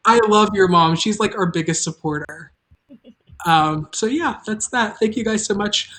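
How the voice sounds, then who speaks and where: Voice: mid-range voice, moderate pace, fairly bright tone. A host of an audio drama chatting casually and informally on a livestream, rambling a little from thought to thought.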